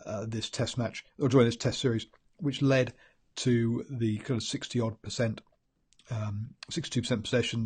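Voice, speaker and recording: A man's voice: medium pitch, darkish tone, breathy edge. Bunged-up New Zealand man with a cold. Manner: rambling with many ums and restarts. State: congested from a cold. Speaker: rugby pundit host. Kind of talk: solo post-match analysis.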